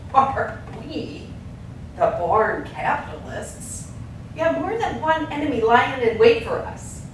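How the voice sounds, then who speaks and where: Sardonic theatrical ranter: slow, drawn-out declamation with sudden swings, picked up from a distance on stage. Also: female voice. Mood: scornful, declamatory. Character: sardonic, ranting orator railing against American war and manufacture. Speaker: stage actor. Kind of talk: theatrical monologue from an avant-garde radio play. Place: echoing performance space, recorded from a distance. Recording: very echoey stage, distant mic, rumbling noise.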